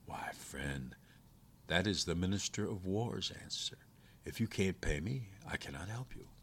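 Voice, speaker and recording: A man voicing a shrewd, unhurried soldier in a storybook tale, calm, teasing and stubborn as he bargains. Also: low-pitched, slight background noise, heavily acted. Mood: cool teasing. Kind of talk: storybook character voice.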